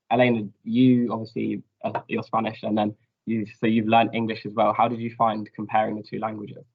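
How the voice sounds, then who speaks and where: A teenage boy hosting a school vlog call, moving the talk along and handing over to guests. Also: lowish voice. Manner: quick, gravelly, keeps things moving. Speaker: student host of the vlog. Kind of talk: casual video-call hosting. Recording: dull lo-fi laptop audio.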